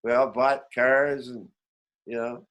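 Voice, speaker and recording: A Canadian man in his seventies telling rambling, animated stories in a casual, reminiscing way as an interview guest. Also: medium pitch, takes it slowly. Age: 70s. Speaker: veteran musician, interview guest. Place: remote online call.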